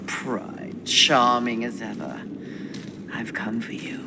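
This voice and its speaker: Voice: medium pitch, steady tone, takes it slowly, big-room sound, background noise. An actor playing a fierce, defiant horseman of the apocalypse, terse and scornful, in a video game cutscene. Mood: cold scorn.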